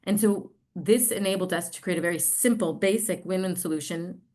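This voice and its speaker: A middle-aged American woman from New York giving an online presentation, speaking haltingly with frequent pauses. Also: lowish voice, mellow. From New York, United States. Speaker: social enterprise representative presenting.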